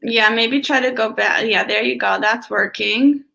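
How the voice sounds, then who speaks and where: A woman co-host with a gravelly, bright voice and a fairly high pitch. She chimes in with short, encouraging remarks over a video call, sounding a little distant from the mic. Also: unhurried. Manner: brief encouraging interjections. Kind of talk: casual chat.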